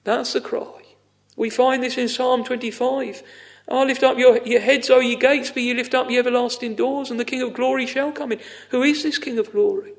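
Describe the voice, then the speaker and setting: A man's voice: very high-pitched, steady tone, normal speed, creaky. A man preaching a fervent extempore evangelical sermon, exclamatory, repeating phrases for emphasis with sighing interjections.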